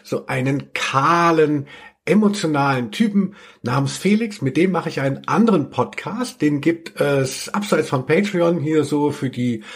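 A man's voice: a little high, unhurried, slightly rough. A man co-hosting a casual comedic podcast in a playful, tongue-in-cheek way. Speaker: podcast co-host.

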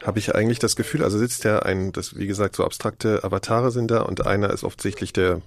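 A man giving a casual, improvised running description, halting as he thinks aloud. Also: lowish voice, steady tone. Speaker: podcast participant describing what he experiences.

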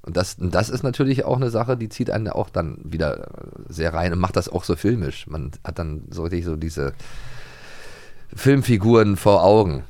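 A man's voice: sits low, lively intonation, normal speed, darkish tone, no room sound. A man co-hosting a podcast in casual chat with a playful, joking manner. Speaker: podcast co-host.

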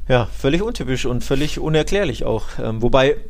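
A man breaks down sport casually on a podcast. He speaks at an average male pitch and stresses his points emphatically, repeating phrases and tagging them with little questions. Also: lively intonation, brisk, gravelly. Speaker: football podcast pundit.

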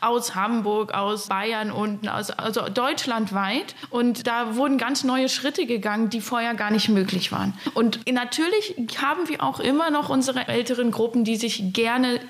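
A woman's voice: on the high side, normal speed, hardly any pauses. A woman giving conversational interview answers as a self-help organisation worker.

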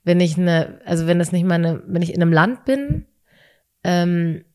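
Low-voiced woman groping for words. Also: lively intonation, unhurried, darkish tone. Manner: hesitant, searching for words. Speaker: podcast co-host. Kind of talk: casual conversation about relationships.

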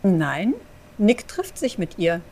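Woman playing a dark-voiced, composed female vampire. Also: mid-range voice, very animated, slow. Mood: concerned. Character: composed, diplomatic female vampire, cautious and respectful. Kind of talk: improvised tabletop role-play audio drama.

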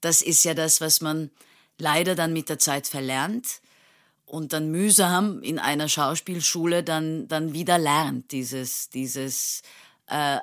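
A woman's voice: low voice, fairly bright tone. A woman in her late thirties from Turkey, an actress talking casually in an interview, thoughtful, with many fillers and restarts and frequent laughter. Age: 30s.

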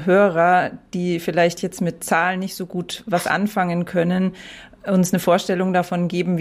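A woman's voice: lowish voice, darkish tone. A woman hosting a podcast interview, giving frequent affirming murmurs and phrasing her questions with searching fillers. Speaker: podcast host.

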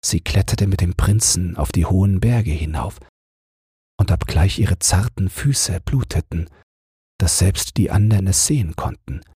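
A man narrating a bedtime fairy tale audiobook in a calm, soothing, measured manner. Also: low voice, husky, no echo, clean studio sound.

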